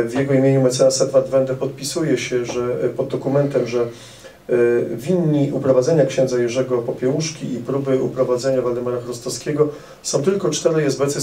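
Measured Polish man, roomy hall sound. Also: medium pitch, mellow, slight background noise. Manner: measured, many "e" fillers, precise. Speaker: author at a meet-the-author event. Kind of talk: answering audience questions. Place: indoor event hall with audience. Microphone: handheld mic. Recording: roomy hall sound, camera some distance off.

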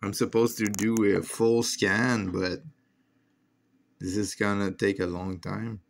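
A man's voice: fairly low pitch, little pitch movement, takes it slowly, vocal fry, slight background noise. A man giving casual, slangy, exclaiming commentary as he films a shortwave radio scan on a handheld phone, easily amused. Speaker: radio hobbyist filming a scan. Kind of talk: casual excited commentary to camera. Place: indoors at a desk. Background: shortwave radio playing.

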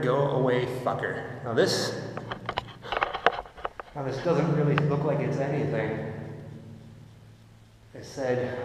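Young man, explorer vlog, action cam, noisy outdoor audio. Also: medium pitch. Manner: chatty, swears when startled. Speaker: urban explorer vlogger. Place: woods and dark concrete ruins outdoors. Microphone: handheld action camera.

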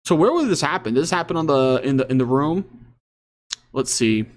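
A man's voice: fairly high pitch, expressive, a little fast. A man hosting online commentary, reading news aloud and reacting casually.

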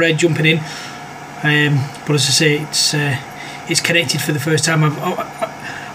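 Higher-voiced British man with background noise. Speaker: amateur radio operator. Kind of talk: casual ham radio conversation.

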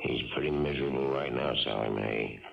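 Gruff folksy ball club manager, deep gravelly voice, old muffled radio play, sounds off the mic. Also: male voice, a little fast, a little hiss or hum, heavily acted. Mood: probing. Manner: plainspoken, folksy. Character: gruff, folksy male baseball team manager. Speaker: radio drama actor. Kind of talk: 1970s radio drama acting and narration. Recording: dull 1970s radio recording, distant, crowd.

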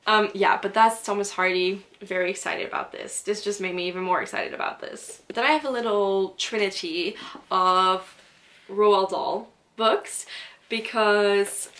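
A woman's voice: medium pitch, fairly even intonation, measured pace, a bit bright. A young woman from Belgium whose first language is Dutch, chatting eagerly about books and reading blurbs aloud at home. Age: young adult. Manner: enthusiastic, gushing with repeated praise. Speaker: book vlogger. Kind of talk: casual chat and reading aloud. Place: small room at home, indoors.

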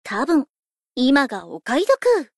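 A young female pop idol from a mobile game, playful and laid-back. Her voice is high and swings melodically as she coaxes and teases in a breezy, sweet way. The recording is dry and close, with no room sound. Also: huge pitch swings, slow, no room sound, full character voice. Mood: breezy teasing. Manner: breezy, coaxing, lightly teasing. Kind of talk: scripted game character voice lines.

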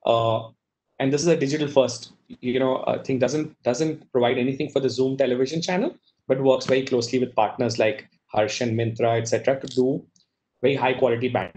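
A man's voice: mid-range voice, a little mellow, rough recording. Exec guy on a video call panel, normal middle voice, says you know a lot. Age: middle-aged. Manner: conversational, many "you know" fillers. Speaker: media executive panelist. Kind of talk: casual panel discussion. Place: office room with world map on wall. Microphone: video call webcam.

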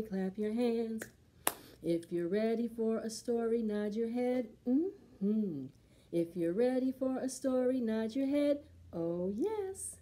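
Expressive, mellow woman hosting children's storytime. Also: on the high side. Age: middle-aged. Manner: expressive, slow, sing-song delivery for young children. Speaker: library storytime host for preschoolers. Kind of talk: children's storytime, singing and reading a picture book aloud.

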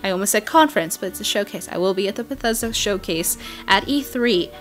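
A woman hosting a game video, giving an excited, chatty, emphatic voice-over breakdown. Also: mid-range voice, a little fast. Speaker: video game channel host. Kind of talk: game trailer breakdown voice-over, semi-scripted. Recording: voice-over with background music. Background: music.

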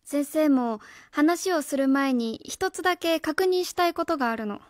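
A woman's voice: high voice, expressive, normal speed, no room sound, clean studio sound. A woman playing an earnest, by-the-book schoolteacher in a radio drama, careful, polite and insistent. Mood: gentle, serious. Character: earnest, by-the-book female schoolteacher on home visit. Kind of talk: Japanese radio drama acting.

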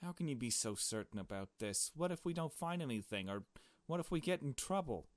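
A man voicing a hesitant, worried young woman in a visual novel, halting and questioning. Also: mid-range voice, lively intonation, no echo, voice pushed up, natural voice. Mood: unsure.

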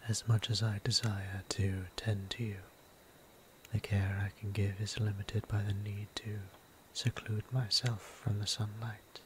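A man voicing a gentle, courtly vampire priest in an ASMR roleplay, breathy and half-whispered, with formal, tender, old-fashioned phrasing. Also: fairly low pitch, flat delivery, unhurried, lightly acted. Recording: clear background noise and ambience.

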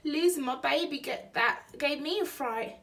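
A woman's voice: sits high, measured pace, fairly bright tone, distant mic, pitched above the performer's own voice. A woman voicing an exclamatory, colloquial working-class lady burglar in a storybook reading. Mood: remorseful. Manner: colloquial ungrammatical speech, exclamatory.

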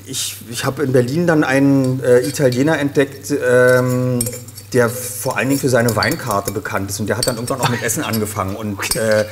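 A middle-aged man talks at a mid pitch in a relaxed, unhurried way, often pausing with fillers as he searches for words. He is a guest chatting in a studio kitchen set, picked up by a lapel mic with a faint hum of noise behind him. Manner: relaxed, hesitant with many fillers. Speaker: guest, voice actor. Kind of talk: casual chat while cooking.

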